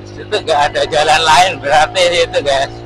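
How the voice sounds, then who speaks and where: A man's voice: fairly high pitch, fairly even intonation, normal speed. A man narrating casually while riding a motorcycle on rural roads, talking to his viewers through a helmet-mounted camera. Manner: chatty, keeps saying "guys" to viewers. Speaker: motovlogger. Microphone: helmet-mounted action camera. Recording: road and engine noise.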